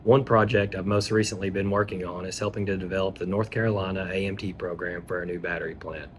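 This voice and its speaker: A Kentucky man in his thirties speaks in a very deep, flat voice. His delivery is steady and measured, like a prepared testimonial. A faint factory hum runs underneath. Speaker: safety manager. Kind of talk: scripted testimonial. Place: manufacturing plant.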